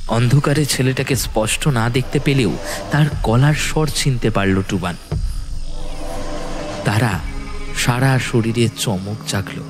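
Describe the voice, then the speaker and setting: A man's voice: mid-range voice, unhurried, pauses often. A man narrating a scripted horror story in a dramatic storytelling style. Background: music.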